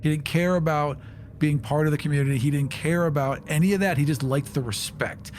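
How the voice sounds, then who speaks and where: A man's voice: a little high, brisk, a little mellow, slight background noise. A man playing a tabletop game, casually narrating what his character thinks and does.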